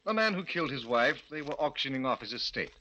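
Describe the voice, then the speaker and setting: An actor playing a nervous, haunted gentleman host in a 1940s radio mystery, theatrical, grave and hesitant, on an old recording. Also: mid-range voice, big pitch swings, fast talker, lo-fi sound. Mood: grave, confessing. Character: nervous, haunted host who believes in a curse.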